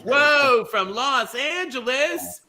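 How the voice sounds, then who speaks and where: A man hosting an online bingo show, teasing his guests with quick, campy asides. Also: very high-pitched, animated, bright, forceful tone, faint music behind.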